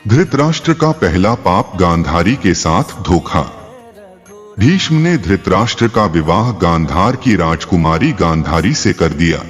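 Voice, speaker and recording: A man narrating a scripted religious tale as a storyteller, in a measured, explanatory way. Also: deep voice, big pitch swings. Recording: long reverb, faint music behind. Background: faint background music.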